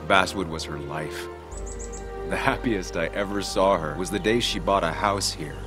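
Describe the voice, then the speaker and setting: An actor playing a calm, earnest, grieving man in a video game, speaking in a measured, solemn way. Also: deep voice, over music, lightly acted. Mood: solemn, tender. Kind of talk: dramatic video game acting.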